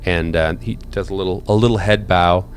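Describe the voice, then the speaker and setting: A low-voiced man runs a tabletop game as its game master. He tells the scene in halting, measured pieces, improvising as he goes, with music beneath him. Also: fairly even intonation. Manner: halting, hesitant, measured storytelling.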